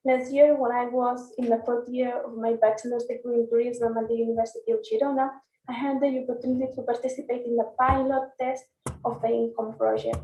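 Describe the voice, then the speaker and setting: A female master's student from Spain introduces herself fluently and calmly. Her voice is high but soft and mellow. The recording sounds distant and echoey. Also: a little fast, a little hiss or hum. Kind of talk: prepared video question. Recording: echoey room, distant mic.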